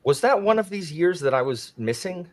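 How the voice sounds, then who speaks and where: A man's voice: a little high, very animated, normal speed. A man hosting a casual book discussion, asking questions and passing the turn to others. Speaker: host of a book discussion panel. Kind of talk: casual chat, moderating.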